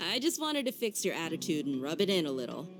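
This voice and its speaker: A woman's voice: fairly low pitch, big pitch swings, normal speed, over music. A woman playing a confident young female mercenary in a video game, teasing, bold and self-assured. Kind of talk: video game character acting.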